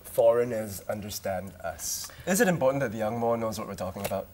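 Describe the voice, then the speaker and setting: A woman's voice: rumbling low, lively intonation, brisk, a little mellow, a little hiss or hum. Woman host moderating. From Singapore. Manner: moderating, asks questions.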